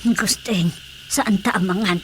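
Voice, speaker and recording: A woman's voice: medium pitch, unhurried, fairly bright tone, close to an everyday voice. A woman playing a fussy, nagging female companion in an Ilocano radio drama comedy, full of exclamations. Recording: noisy radio drama mix.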